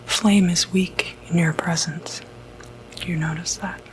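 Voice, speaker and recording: A woman whispering breathily in an ASMR medical roleplay, playing a reassuring doctor with a hesitant, gentle bedside manner. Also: on the low side, steady tone, a little slow, a little hiss or hum, poor audio. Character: calm, reassuring doctor who treats demonic possession.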